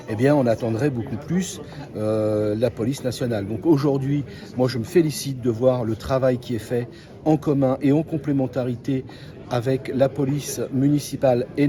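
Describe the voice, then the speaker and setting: A man speaking as a mayor in a formal interview statement, deliberate, with many pauses. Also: mid-range voice, warm tone. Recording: clear crowd chatter behind the voice. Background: crowd chatter.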